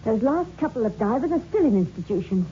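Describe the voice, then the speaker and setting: An actress playing an earnest, uneasy young fiancée with a questioning manner, in 1960s radio drama style. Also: female voice, on the high side, sing-song, fast talker, dark tone. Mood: uneasy, insistent. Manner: anxious, questioning. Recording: muffled, hissy old radio recording.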